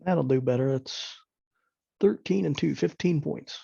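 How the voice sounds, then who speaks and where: Very gravelly man at a game table. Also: on the high side, unhurried, dark tone, dry recording, dull audio. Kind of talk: casual game-table chat.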